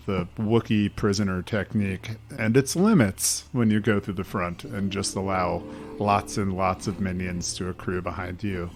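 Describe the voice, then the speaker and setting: A man talking casually as a player on a podcast panel. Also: on the low side. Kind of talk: casual chat.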